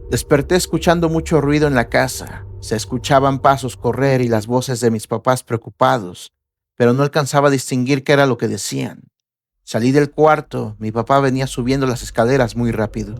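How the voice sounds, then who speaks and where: A man narrating horror stories in a measured, somber reading style. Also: mid-range voice, slight background noise. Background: faint background music.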